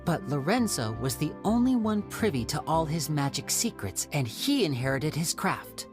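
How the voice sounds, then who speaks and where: A woman playing a polite young stage magician and schemer in a video game, measured, courteous and persuasive. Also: low voice, big pitch swings, music in the background. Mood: measured, planning. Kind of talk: English game voice acting.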